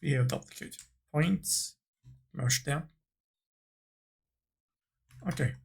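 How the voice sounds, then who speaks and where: A man with a gravelly, husky voice talks through a software tutorial. He goes slowly, in short halting phrases, thinking aloud as he works through each step. His delivery is unscripted and instructional. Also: a little high.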